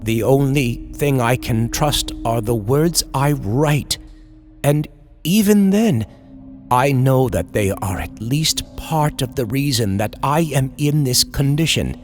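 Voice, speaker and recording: Slow, dramatic man narrating horror over music. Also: mid-range voice, expressive. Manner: theatrical, deliberate storytelling. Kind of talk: dramatic scripted horror narration in old-time radio style.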